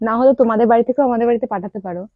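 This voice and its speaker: A woman's voice: on the high side, racing, no echo, narrow, tinny audio. A young adult woman in a casual, teasing prank-call chat, pressing on persistently as the host and caller. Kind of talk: casual prank phone chat with asides to viewers.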